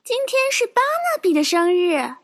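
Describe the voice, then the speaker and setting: A sweet little girl helper in a children's radio story. The childlike voice is extremely high and animated, earnest in manner, over music. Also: normal speed, heavily acted.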